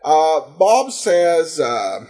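A male podcast host speaks off the cuff in a somewhat high-pitched voice. His delivery is halting, with many ums and uhs as he works through commentary and listener feedback. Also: sing-song, warm tone.

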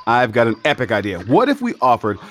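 An actor playing a manic, scheming theatre producer in a comedic audio drama, glib, pushy and excitable. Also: male voice, medium pitch, fast, a little hiss or hum. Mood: eager scheming.

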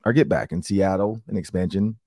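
Hoarse, raspy Midwestern man host. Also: deep voice, a little fast, dry recording, studio quality. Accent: Upper Midwest American. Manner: rambling, excitable storytelling. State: hoarse from yelling. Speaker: sports talk show host. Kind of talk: casual podcast chat.

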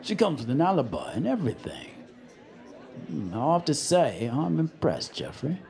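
A man's voice: a little high, huge pitch swings, normal speed, creaky, crowd noise, full character voice. A man playing a sly, appraising acquaintance in an audio drama, amused and sardonic, with a drawling delivery. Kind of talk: naturalistic audio drama acting.

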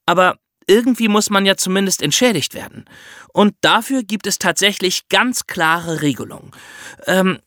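Guy podcast host explaining stuff with examples, really bright voice, kinda high, dry sound. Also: dry recording. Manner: explanatory, addressing co-hosts with examples. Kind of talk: conversational true-crime explainer.

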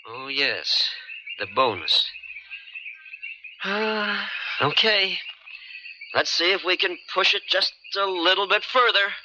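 An actor playing a warm, weary adventurous boat skipper in a 1970s radio drama. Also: male voice, sits high, lively intonation, slow, long pauses. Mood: wary. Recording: muffled noisy 1970s radio broadcast.

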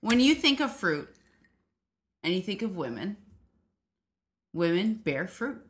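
A woman's voice: mid-range voice, sing-song, takes it slowly. Playful laughing female podcast guest. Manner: playful, laughs often, argues cheekily. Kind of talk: casual banter.

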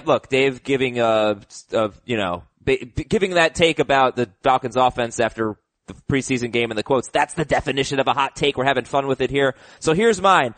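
A man hosts a casual sports chat with animated energy, his voice slightly roughened by a cold. The sound is dry and close, with no room around it. He talks at a middle pitch for a man. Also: dry recording. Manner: animated, hot takes, restarts. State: slightly sick voice. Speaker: podcast host.

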